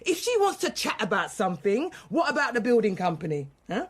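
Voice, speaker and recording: A woman's voice: a little high, big pitch swings, brisk, husky. An actress playing an angry, grieving mother under police questioning, defiant and colloquial, in a naturalistic radio drama. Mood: bitter, scornful.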